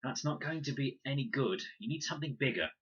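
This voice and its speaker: A man's voice: mid-range voice, animated, a little fast, a bit bright, husky, dull audio, voice pushed up. A man voicing a defiant, prickly schoolgirl in a sarcastic, snappy manner for a children's audiobook. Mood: dismissive.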